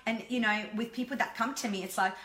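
A middle-aged woman talks with a bright, forceful edge, full of passion and the odd curse. Her rambling chat rings in an echoey room. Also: a little high, steady tone, quick pace. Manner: passionate, rambling, swears freely. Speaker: podcast guest. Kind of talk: candid conversational chat.

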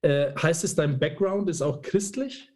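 A man's voice: fairly high pitch, a little slow, a little mellow. A man hosting a casual conversational interview in an informal, jokey, somewhat hesitant manner. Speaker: podcast host, interviewer.

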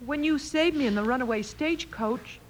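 An actress playing an earnest, anxious young miner's daughter in an old radio western. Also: female voice, high voice, a little fast, lightly acted. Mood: grateful, puzzled. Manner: earnest, emotional. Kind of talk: 1950s radio western acting. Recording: noisy old-time radio recording.

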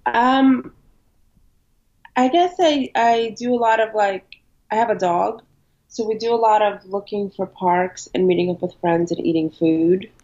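A woman in her thirties, a podcast guest who grew up in New York, talking in a relaxed way with frequent ums. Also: mid-range voice, unhurried. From born in Ukraine, raised in New York. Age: 30s. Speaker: interview guest. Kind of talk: casual interview chat. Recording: dull lo-fi audio.

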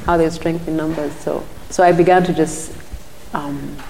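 A middle-aged Ugandan woman, a guest in an interview, talking thoughtfully with frequent restarts and soft murmurs of agreement. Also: sits low, fairly even intonation, normal speed, gravelly, big-room sound, slight background noise, rough recording. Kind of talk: casual interview talk.

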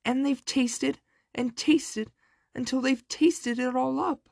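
A storyteller voicing a little baby boy bear from a children's storybook in a shrill, squeaky voice. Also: fairly even intonation, takes it slowly, vocal fry, a little mellow, dry recording, clean studio sound, exaggerated voice. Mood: tearful complaint.

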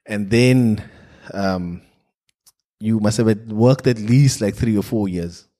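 A man's voice: fairly low pitch, slow pace, mellow. A South African man whose first language is Afrikaans, co-hosting a podcast in casual chat and speaking bluntly with frequent swearing. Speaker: podcast co-host.

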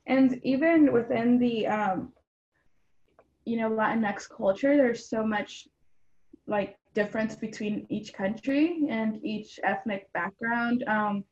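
A woman's voice: on the high side, takes it slowly, darkish tone, muffled recording. A young woman from a Mexican household in Atlanta answering interview questions earnestly, with frequent ums and you-knows. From Mexican household in Atlanta, Georgia. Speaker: guest.

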